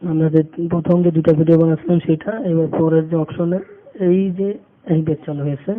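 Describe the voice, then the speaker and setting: A man giving an unscripted step-by-step tutorial, halting and repeating phrases as he works. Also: on the high side, flat delivery. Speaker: tutorial instructor. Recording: muffled phone-like audio with background noise.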